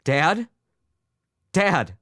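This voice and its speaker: A man's voice: on the low side, lively intonation, normal speed, dry recording, clean studio sound, pitched above the performer's own voice, close to an everyday voice. A man voicing an unhappy, anxious grown son in a story, emotional and pleading.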